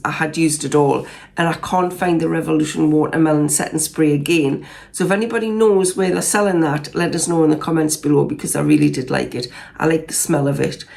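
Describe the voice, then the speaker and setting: A woman in her sixties with a Geordie accent talks through her favourite makeup in a relaxed, rambling way, full of ums and little restarts. Her voice sits a touch low and has a gravelly fry to it, made croakier by a cold. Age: 60s. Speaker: beauty vlogger. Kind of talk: casual makeup review vlog.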